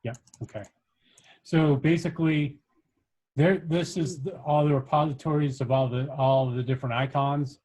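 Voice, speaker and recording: A man instructing a workshop, explaining things step by step in an informal, halting way as he shares his screen. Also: on the high side, fairly even intonation, dark tone, poor audio. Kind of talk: casual screen-share coding tutorial.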